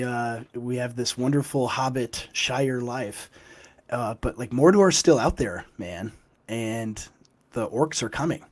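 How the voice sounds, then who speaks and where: A young man whose voice is worn rough and husky by a cold, giving it a gruff edge. He speaks as a guest author in a conversational interview, at an everyday middle pitch. He drives his points home emphatically and keeps checking in with "right?". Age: young adult. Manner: emphatic, with frequent "right?" tags. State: hoarse from a cold.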